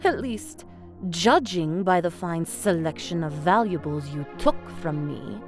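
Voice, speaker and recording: A haughty female crime boss in an audio drama. She is silkily menacing and condescending, with expressive, swooping pitch. Music plays clearly behind her. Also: unhurried. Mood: cold condescension. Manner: theatrical, condescending, mock-polite.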